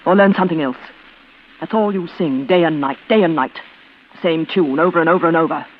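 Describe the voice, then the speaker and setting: An actress playing a stern, impatient middle-aged sister in a 1940s radio suspense drama, curt and scolding. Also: female voice, low voice, fairly quick, close to an everyday voice. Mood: angry. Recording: dull, noisy vintage radio recording.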